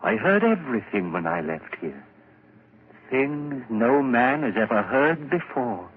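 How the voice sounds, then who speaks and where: Guilt-ridden madman, wildly swooping delivery, halting and stammering, old radio horror, dull noisy recording. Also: medium pitch, sing-song. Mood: insistent. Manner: halting, stammering, repeats himself. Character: agitated, guilt-ridden madman who hears too much. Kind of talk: 1940s radio horror acting.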